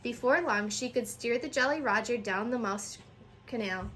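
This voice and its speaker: Woman reading a kids book out loud, highish voice, trips on words a bit, noisy home recording. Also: normal speed. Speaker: storyteller reading aloud to children. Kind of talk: children's picture book read aloud.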